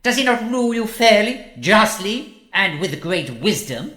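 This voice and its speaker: A man's voice: high voice, sing-song, pushed and bright, roomy sound, exaggerated voice. A man playing a pompous, obsequious and menacing lord of a royal council in a comedy audio drama, with a formal manner. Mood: scornful, menacing. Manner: formal, pompous, drawn-out delivery.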